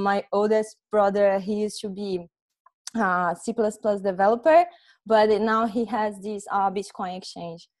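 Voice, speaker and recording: A woman's voice: medium pitch, a little mellow. Young Brazilian woman presenting informally. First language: Portuguese. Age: young adult. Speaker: presenter, software testing specialist. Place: small room at home, indoors. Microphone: earphone headset on video call.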